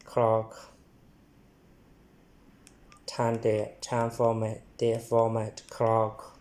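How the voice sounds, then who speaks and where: A man giving a hands-on product demonstration in halting, short phrases as he reads items out. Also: on the low side, monotone, a little mellow.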